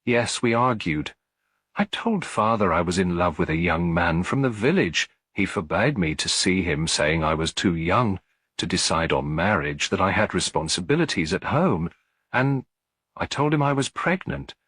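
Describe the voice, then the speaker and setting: A man's voice: low voice, lively intonation, moderate pace, fairly bright tone, slightly rough, no room sound, full character voice. A man voicing an anxious, guarded English daughter of twenty in a detective audiobook, emotional and defensive.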